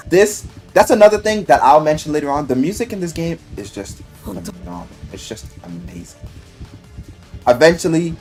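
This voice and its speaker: A man casually retelling a video game story in an animated, rambling, slangy way, with plenty of swearing. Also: mid-range voice. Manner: animated, exaggerated, swearing, acts out quotes in mock voices. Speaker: game reviewer.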